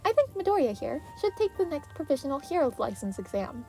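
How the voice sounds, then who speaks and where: A woman's voice: high-pitched, big pitch swings, brisk, a little mellow, faint music behind, voice pushed up. A woman voicing a cheerful, formal little animal who runs a school, in an anime fan story reading. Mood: cheerful, decisive. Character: cheerful small animal school principal with paws.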